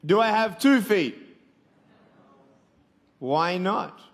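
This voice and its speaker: High-voiced Australian man preaching playfully. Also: lively intonation, drawn-out words. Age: 20s. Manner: playful, joking, calls for audience responses. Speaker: evangelist speaker. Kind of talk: humorous motivational preaching to a live audience. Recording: long hall reverb.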